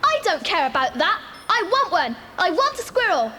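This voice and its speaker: A woman's voice: extremely high pitch, sing-song, normal speed, bright, forceful tone, full character voice. A woman playing a spoiled, bossy little rich girl in a children's audio drama, petulant and imperious. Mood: demanding. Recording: faint hiss, 1990 cassette dramatisation.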